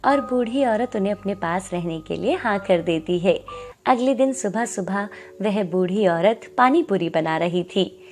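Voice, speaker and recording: A woman's voice: medium pitch, animated, unhurried, darkish tone, soft background music. A woman reading scripted narration for a moral story as its storyteller.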